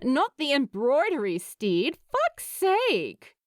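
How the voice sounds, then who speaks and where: A woman's voice: high-pitched, wildly swooping pitch, takes it slowly, no room sound, studio quality, pitched above the performer's own voice, heavily acted. A woman voicing a blunt, foul-mouthed woman friend in an audiobook, groaning and emphatic in her exasperation. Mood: exasperated.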